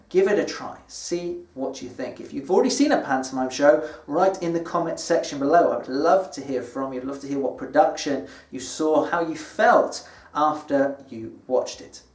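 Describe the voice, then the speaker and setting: A British man speaks as an English teacher, presenting to learners in a deliberate, explanatory way. His voice sits a little higher than most men's. The room rings with clear echo, and he sounds somewhat away from the microphone. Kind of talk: scripted educational presentation to camera. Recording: echoey room, distant microphone.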